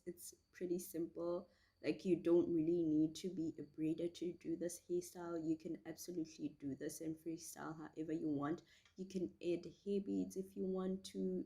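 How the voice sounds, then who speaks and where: Woman doing a chill how-to, low voice thats a bit hoarse, soft mellow tone, reassuring. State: slightly hoarse from a cold. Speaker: hairstyle tutorial host. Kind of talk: casual step-by-step tutorial chat.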